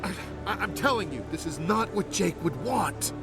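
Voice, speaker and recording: An actor playing an exasperated, urgent brother-in-law in a video game drama, insistent and pleading. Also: male voice, sits high, animated, moderate pace, creaky, over music.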